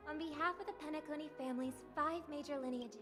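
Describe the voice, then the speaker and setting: A woman's voice: sits high, fast, lightly acted. An actress voicing a gracious young woman delivering a formal festival speech in a poised, ceremonial manner. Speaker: festival speaker. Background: game music.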